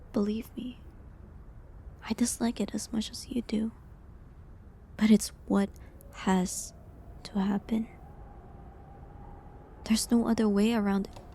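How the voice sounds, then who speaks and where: A woman playing a falsely accused village healer in a medieval audio drama, sad and resigned, speaking softly and hesitantly with pauses. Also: mid-range voice, level tone, whispered. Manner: soft, hesitant, breathy delivery with pauses. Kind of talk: dramatic audio roleplay monologue, medieval setting.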